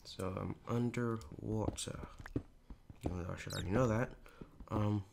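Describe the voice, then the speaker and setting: A teenage boy talks through his game in a hoarse, raspy voice that sits a little low and keeps sliding into gravelly fry. He rambles along with plenty of fillers in a casual, chatty way. Keyboard clicks come through clearly behind him. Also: steady tone, normal speed, noisy background. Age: teens. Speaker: gaming YouTuber. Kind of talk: casual Minecraft commentary.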